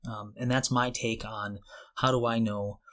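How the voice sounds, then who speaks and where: A man's voice: fairly low pitch, flat delivery, a little slow, a bit bright. A man in his forties co-hosting a podcast in a casual, conversational way, thinking aloud in loose, hesitant phrases. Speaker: podcast co-host.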